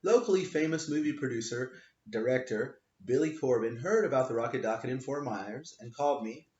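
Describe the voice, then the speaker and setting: A man's voice: mid-range voice, lively intonation, brisk, a little mellow. A man, a lawyer, reading his own book aloud in a wry, offhand way, stressing the punchlines. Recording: roomy, dull lo-fi home recording.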